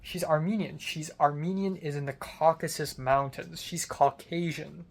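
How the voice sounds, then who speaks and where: A man's voice: on the high side, steady tone, normal speed, creaky. A young adult man talking in a casual, rambling personal diary style, informal and conversational, swearing mildly. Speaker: film student vlogger.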